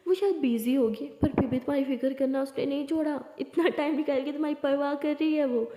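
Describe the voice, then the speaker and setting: A woman voicing a bossy but caring young college friend in a story, brisk, scolding and coaxing. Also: high-pitched, dark tone, natural voice. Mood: soothing, firm. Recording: echoey room with long reverb.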